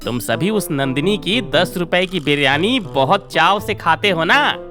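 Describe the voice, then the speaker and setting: A man's voice: fairly high pitch, animated, a little slow, music in the background. A man playing a scheming, indignant rival street-food seller in a cartoon story, goading and exclamatory.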